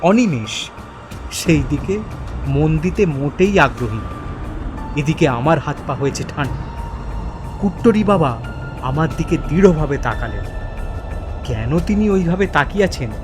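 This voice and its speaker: Male horror-story narrator, slow and suspenseful, dramatic first-person delivery, lively intonation. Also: on the high side, over music. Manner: suspenseful, deliberate phrasing.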